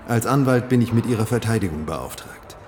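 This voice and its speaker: Lowish-voiced, skeptical male lawyer, played by an actor. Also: animated, fairly quick, music in the background, close to an everyday voice. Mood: formal, skeptical. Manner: formal, insistent. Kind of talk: German radio drama acting.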